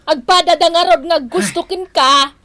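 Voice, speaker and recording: A woman's voice: very high-pitched, normal speed, bright, forceful tone. An actress playing a fond older village mother in an Ilocano radio drama, chiding and matter-of-fact. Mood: amused, chiding.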